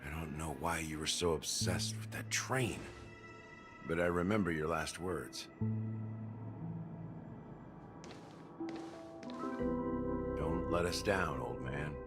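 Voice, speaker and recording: An actor playing a world-weary, enigmatic middle-aged man in a video game, speaking in a measured, wry and reflective way. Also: very deep voice, music in the background. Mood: wistful. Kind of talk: dramatic game dialogue.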